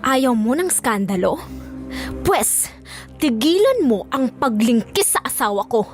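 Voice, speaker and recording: A vengeful Filipino wife in a radio-style drama. She is high-pitched and swings widely in tone, confronting and threatening her rival, with music underneath. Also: very animated, measured pace. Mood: furious, threatening. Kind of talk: Filipino audio drama acting.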